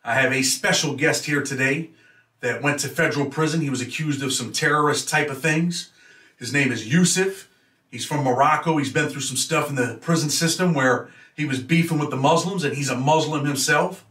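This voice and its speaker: A man's voice: a little high, moderate pace, pushed and bright. A middle-aged man hosting an interview show in a casual, direct way. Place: indoor room with banners. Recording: roomy, slightly distant mic.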